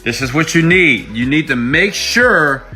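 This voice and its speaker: A man's voice: a little high, lively intonation, unhurried, bright, forceful tone, faint music behind. A man giving an emphatic motivational tutorial as an online coach, checking in with rhetorical questions, recorded on an iPhone. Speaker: online money-making coach. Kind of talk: motivational tutorial pitch to viewers. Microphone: iPhone microphone.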